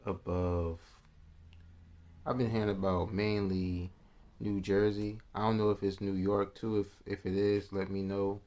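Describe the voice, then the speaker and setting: Man, low voice, unhurried, casual slangy reaction vlog, rambling asides. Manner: laid-back, slangy, musing aloud with restarts. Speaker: vlogger reacting to news clips.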